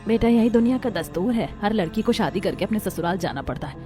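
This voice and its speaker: A woman voicing a meek, long-suffering mother with a soft, resigned manner, in a cartoon family drama. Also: mid-range voice, animated, quick pace, natural voice. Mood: sad, resigned. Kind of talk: cartoon story voice acting. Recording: background music under voice.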